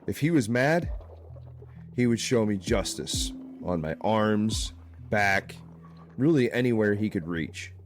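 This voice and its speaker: Man playing an anxious, gruff, low-voiced deputy. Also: lively intonation, takes it slowly. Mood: grim, confiding. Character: anxious claustrophobic male small-town deputy, gruff, haunted by childhood. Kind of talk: audio drama dialogue. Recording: music under the voice.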